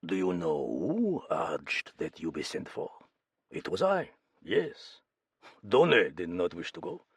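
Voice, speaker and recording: A man's voice: sits low, huge pitch swings, takes it slowly, breathy edge, no room sound, exaggerated voice. A man voicing a theatrical, scheming older Frenchman, speaking in a mannered way.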